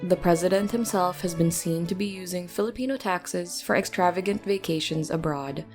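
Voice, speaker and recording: A woman presenting a scripted news commentary segment on a podcast in a measured, articulate reading. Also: on the low side, darkish tone, soft background music.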